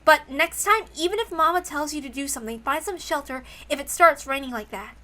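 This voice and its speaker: Doting young mother, played by a woman: tender, soothing, gently chiding, high and bright, music behind. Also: brisk. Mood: gentle, apologetic.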